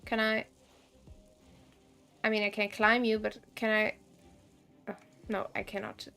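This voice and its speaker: A woman's voice: mid-range voice, flat delivery, unhurried, creaky, pushed and bright, faint music behind. A woman game streamer giving casual live commentary, thinking aloud in a blunt, wry way.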